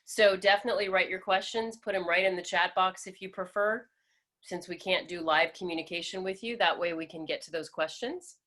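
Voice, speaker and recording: A woman's voice: lowish voice, fairly quick, fairly bright tone. A woman from Florida hosting a webinar over a video call, upbeat and welcoming. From Florida, USA. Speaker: webinar host.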